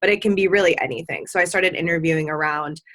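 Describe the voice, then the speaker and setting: A young woman on a video call, answering interview questions in a chatty, relaxed way, with frequent ums and likes. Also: deep voice, fast. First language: Farsi. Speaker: guest.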